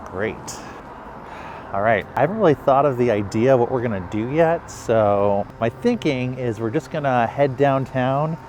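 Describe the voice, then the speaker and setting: A man's voice: mid-range voice, expressive, moderate pace, background noise. A man chatting casually as a video creator and host of a vlog.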